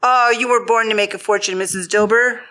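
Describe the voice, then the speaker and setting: Woman playing sly, bright-voiced pawn shop dealer Joe. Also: a little high, steady tone, brisk. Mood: nosy, amused. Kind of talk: audio drama acting.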